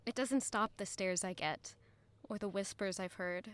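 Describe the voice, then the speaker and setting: A woman's voice: mid-range voice, unhurried, fairly bright tone, smooth, no room sound, close to an everyday voice. An actress playing a guarded, wistful young lady-in-waiting in an audio drama, speaking earnestly and introspectively. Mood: subdued, wistful. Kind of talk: audio drama narration and dialogue.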